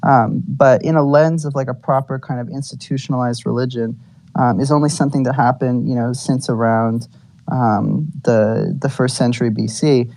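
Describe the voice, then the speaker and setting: A man in a casual online group chat, talking in a halting way with many "um"s and "you know"s. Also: mid-range voice, fairly even intonation, darkish tone, no room sound. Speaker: participant. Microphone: online audio chat.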